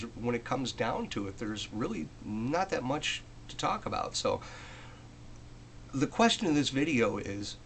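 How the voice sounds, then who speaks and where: A man's voice: medium pitch, creaky, slight background noise. An American man talking casually and off the cuff as a hobbyist vlogger, conversational and a little self-deprecating. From United States. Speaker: amateur radio hobbyist presenting a video. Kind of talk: casual unscripted vlog talk.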